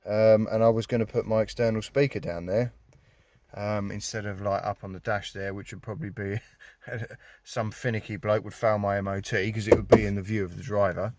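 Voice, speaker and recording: A man's voice: lowish voice, steady tone, a little fast, darkish tone, no room sound. A British man chatting casually through a DIY job in a car, rambling with wry, self-mocking grumbles. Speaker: hobbyist filming a car radio install. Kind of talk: casual DIY vlog chat. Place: inside a car.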